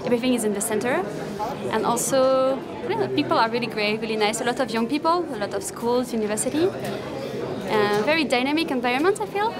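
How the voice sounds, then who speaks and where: A woman's voice: a little high, lively intonation, fairly quick, over a noisy crowd. A young woman from France, chatting casually and often saying "like". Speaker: conference participant. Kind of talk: casual interview. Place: conference center hallway.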